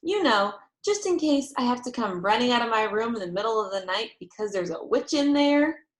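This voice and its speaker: A woman's voice: on the high side, expressive, fairly quick, reverberant, natural voice. A woman voicing an outspoken, anxious first-grade girl with blunt, emphatic childish phrasing, in a storybook reading. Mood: anxious, insistent.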